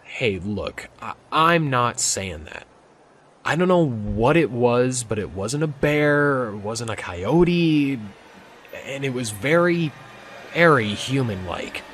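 Slow hesitant park ranger guy, man acting it in audio drama, kinda uneasy, some background noise. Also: medium pitch, fairly bright tone. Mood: shaken, defensive. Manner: halting, hesitant. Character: uneasy male park ranger recounting a strange sighting.

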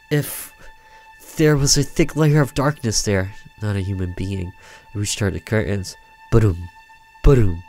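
Low-voiced man reading a horror game. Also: darkish tone. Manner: theatrical storytelling with side comments. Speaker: let's player. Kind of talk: reading a horror visual novel aloud. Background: game music with guitar.

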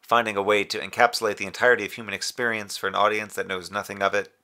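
An actor playing a dutiful, wry moonbase communications officer in an audio drama, articulate and formal with an anxious edge. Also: male voice, fairly low pitch, little pitch movement, racing, bright, forceful tone. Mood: composed, earnest. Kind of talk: scripted audio drama radio log.

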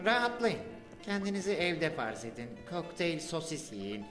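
An actor playing an odd, humble sewer-dwelling superhero in a cartoon, halting and repeating himself in a literal way. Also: male voice, fairly high pitch, very animated, over music. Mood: hospitable. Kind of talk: dubbed cartoon acting.

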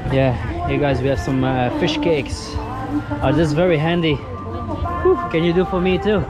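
A man's voice: on the high side, moderate pace. A young man filming a travel vlog while walking through an outdoor night market, chatting upbeat and laughing often. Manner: chatty, upbeat, laughs often, tries a few local words. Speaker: travel vlogger. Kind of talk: casual vlog chat. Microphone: handheld camera at arm's length. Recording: loud music and crowd at an outdoor market.

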